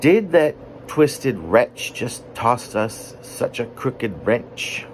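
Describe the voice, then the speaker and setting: A man reading his own poetry as a rambling, wordy monologue, deliberate and pausing mid-phrase. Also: medium pitch, lively intonation, a little hiss or hum, poor audio. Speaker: poet reading own work.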